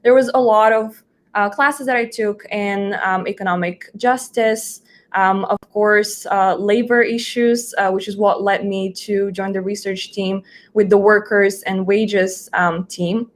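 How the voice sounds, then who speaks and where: A woman's voice: mid-range voice, fairly even intonation, measured pace. Young woman, conversational guest speaker. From Bulgaria. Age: 20s. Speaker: guest, junior research analyst. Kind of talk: conversational account of own work.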